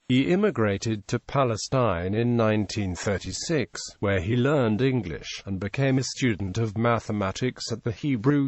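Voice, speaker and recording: A man giving a steady, scripted read-aloud of an encyclopedia article, stumbling over a few names. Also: fairly low pitch, dry recording. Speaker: narrator.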